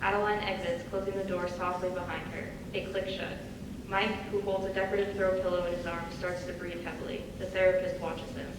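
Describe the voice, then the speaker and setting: A woman's voice: on the low side, flat delivery, fairly quick. A woman reading scripted stage directions as a narrator in a live reading. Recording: distant echoey hall, clear background noise.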